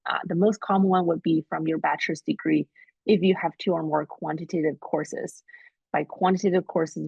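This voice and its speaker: A woman hosts an admissions webinar and walks through deadlines and rules in a practical, advising way, full of fillers. Her voice is husky with a gravelly edge. The sound is dry and muffled, like a video call mic. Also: low-pitched, darkish tone, no echo. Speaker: admissions staff host. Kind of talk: webinar presentation. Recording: muffled, dull webinar audio.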